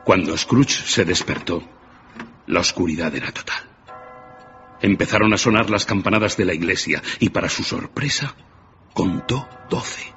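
A man's voice: low voice, expressive, moderate pace, soft background music. A man narrating a scripted dramatic story as the narrator of a radio drama. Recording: muffled, loud background ambience and noise.

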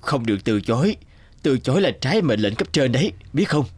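Suave, low-toned company boss. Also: male voice, sing-song, a little fast, darkish tone, slightly rough, no echo. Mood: flattering. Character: smooth, insistent middle-aged company boss.

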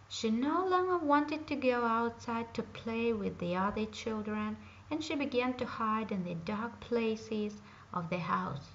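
A woman reading a fairy tale aloud in a slow, careful storytelling manner. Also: on the high side, slight background noise. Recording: dull lo-fi home recording.

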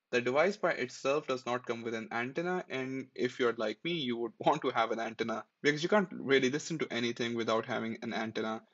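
A man hosting a tech tutorial, talking through software setup step by step in a friendly, matter-of-fact way as a screen-recorded voice-over. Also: medium pitch, lo-fi sound.

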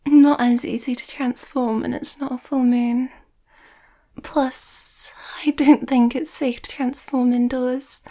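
Shy young werewolf girlfriend played by a woman for ASMR roleplay: high, mellow, nervous, breathy. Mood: bashful, playful. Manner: hesitant, breathy, often near whisper, nervous.

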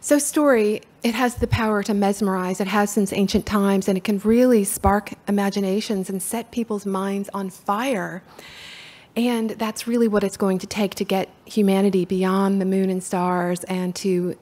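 A middle-aged woman from Appalachia with a soft-spoken Appalachian accent, giving a conference talk from a podium in a hall. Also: mid-range voice, steady tone, normal speed. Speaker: conference speaker. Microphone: podium mic from far camera.

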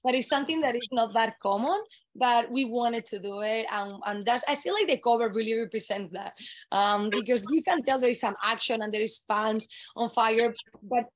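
Spanish accent woman, upbeat author chat over video call, kinda high voice. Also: normal speed. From Spain. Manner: enthusiastic, speaks fluent English with frequent "um". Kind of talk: webinar conversation.

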